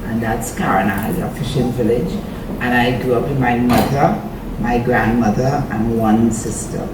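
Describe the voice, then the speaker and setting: A woman's voice: extremely low pitch, steady tone, measured pace. Older trinidadian lady telling her story on stage, far off mic, echoey. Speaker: interview guest. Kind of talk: stage interview. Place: event stage. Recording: roomy, distant, noisy event recording.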